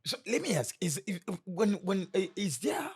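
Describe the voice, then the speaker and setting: A man's voice: sits high, sing-song, moderate pace, breathy edge. A Kenyan man with a Kenyan accent hosting an interview, chatty and playful, mixing in Swahili slang. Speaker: talk show host. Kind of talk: interview questions.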